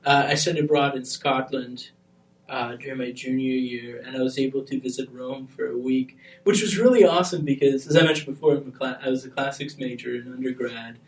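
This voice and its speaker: A young man from Albania chatting casually on a panel, turning animated as he tells stories. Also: medium pitch, brisk, distant mic. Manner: animated, grows excited when telling stories. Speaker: podcast panelist. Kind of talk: casual chat. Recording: roomy, off-mic sound.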